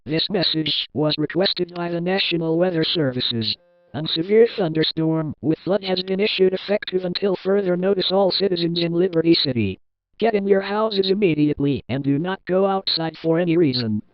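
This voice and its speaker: Formal guy reading an emergency alert, kinda high voice, dry sound, dull audio. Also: moderate pace, no room sound.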